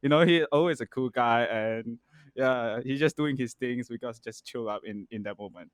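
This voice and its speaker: A man's voice: medium pitch, normal speed, clear, resonant tone, no room sound, studio quality. A man hosting a podcast, chatting in a friendly, hesitant way with frequent restarts and fillers. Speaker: podcast host. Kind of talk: casual podcast conversation.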